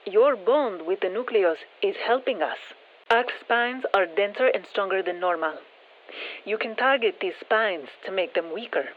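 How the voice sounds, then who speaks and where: An actress playing a composed scientist who gives soldiers matter-of-fact, dryly wry mission instructions through a radio filter in a game. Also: female voice, mid-range voice, moderate pace, phone-quality sound. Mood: calm, instructive. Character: composed female scientist-engineer guiding soldiers against alien parasites. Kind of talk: scripted game mission briefing.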